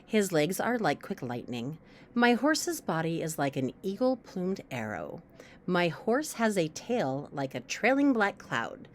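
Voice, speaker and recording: A woman reciting a poem aloud with a lilting, expressive delivery. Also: lowish voice, unhurried, slight background noise.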